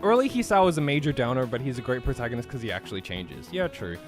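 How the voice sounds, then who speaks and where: A man's voice: medium pitch, very animated, fast talker, faint music behind. A man reading a visual novel's narration aloud on a casual game stream and bantering between passages.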